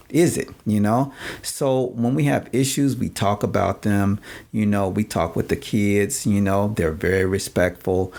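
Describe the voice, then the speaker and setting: A man hosting a podcast, giving casual advice, with many fillers and "all right?" check-ins, his voice going hoarse. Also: fairly low pitch, darkish tone. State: losing his voice. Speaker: podcast host.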